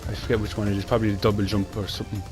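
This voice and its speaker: A young Irish man chatting quickly and rambling while he plays through a platform game. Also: fairly low pitch, flat delivery. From Ireland. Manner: fast, rambling, chatty with Irish phrasing like "class". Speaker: gaming channel host. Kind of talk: casual gameplay commentary. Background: game music.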